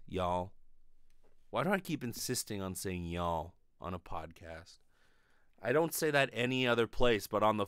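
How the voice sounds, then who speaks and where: A man in his thirties hosting a podcast, delivering an animated, rambling, sweary comedic monologue into a close studio microphone. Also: high voice, no room sound. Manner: animated, profane, rambling storytelling. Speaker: podcast host. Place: small bedroom, indoors. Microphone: close desk studio microphone with pop filter.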